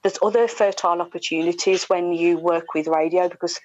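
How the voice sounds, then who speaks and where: A woman's voice: on the low side, fairly even intonation, a little fast, darkish tone. A middle-aged British woman, a radio presenter, answering as an interview guest in a conversational style over a video call. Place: indoor room, video call. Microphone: wired earphones with inline mic. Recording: dull, slightly lo-fi video call audio.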